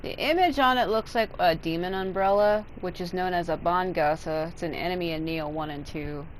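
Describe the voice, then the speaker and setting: A woman's voice: fairly low pitch, a little fast, a little hiss or hum, rough recording. A woman giving casual, rambling let's play commentary, with some swearing. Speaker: gaming streamer. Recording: game music behind the voice.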